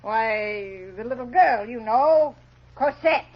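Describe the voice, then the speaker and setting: An actress playing a shrewish, greedy innkeeper's wife in an old-time radio drama, gushing one moment and scornful the next. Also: female voice, on the high side, animated, slow pace, breathy edge, exaggerated voice. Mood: ingratiating. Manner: gushing to guests, scornful and irritable otherwise. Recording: muffled vintage radio broadcast.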